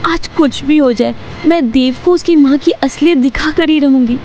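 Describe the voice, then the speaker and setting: High-voiced suspicious young wife played by a woman. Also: moderate pace, dark tone, dull audio, slight background noise, natural voice. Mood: determined. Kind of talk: dramatised Hindi moral horror story narration.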